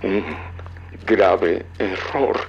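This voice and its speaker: A man's voice: sits low, extremely slow, vocal fry, slight background noise, heavily acted. An actor playing a grave, regretful father making a solemn confession on an old cassette in an audio drama. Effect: old cassette tape filter. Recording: dull lo-fi cassette tape, faint music.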